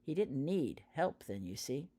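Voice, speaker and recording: An eager schoolgirl witch , read by a woman, tells tales in a storybook style. Her melody is animated and varied. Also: low-pitched, a little slow, husky, heavily acted. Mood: storytelling. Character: schoolgirl witch eagerly recounting family anecdotes.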